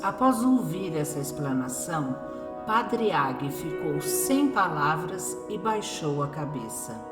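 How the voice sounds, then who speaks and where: A woman narrates a scripted radio drama. Her voice is slightly low and very unhurried, with echo around it. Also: very animated. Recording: echoey, music behind. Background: music.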